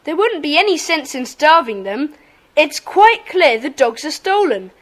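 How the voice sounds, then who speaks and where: A bossy young schoolboy leader in a children's radio-style play. The voice is high and animated, with a brisk, commanding, organised delivery. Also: female voice. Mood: thoughtful. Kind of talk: children's audio drama acting.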